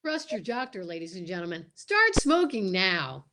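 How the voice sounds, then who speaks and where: A woman announcer giving a showy sales pitch in the style of old-time radio. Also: very animated, moderate pace, noisy background.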